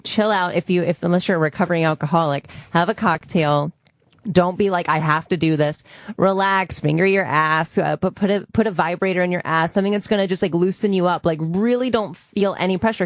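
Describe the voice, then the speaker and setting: A woman with a soft voice, talking frankly and casually as a show guest. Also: fairly low pitch, no room sound, clean studio sound. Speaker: guest adult performer. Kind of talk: casual chat.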